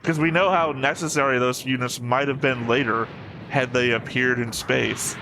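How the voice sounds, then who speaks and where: A man's voice: mid-range voice, fairly even intonation, moderate pace, background noise. A man giving casual, nerdy and opinionated reaction commentary in a wry way. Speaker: reaction video host.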